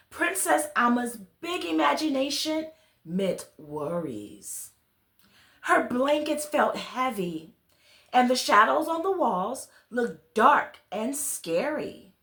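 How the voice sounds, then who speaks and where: Female children's storyteller, higher-pitched and very animated, chatty asides to young listeners, roomy echo. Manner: addresses children directly with questions and exclamations. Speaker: children's read-along storyteller, host. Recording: roomy, echoey sound.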